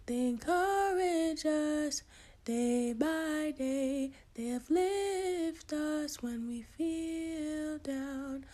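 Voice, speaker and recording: A woman sings a gentle devotional song in a high voice. The phrases are drawn out very slowly, with faint music around them. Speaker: singer.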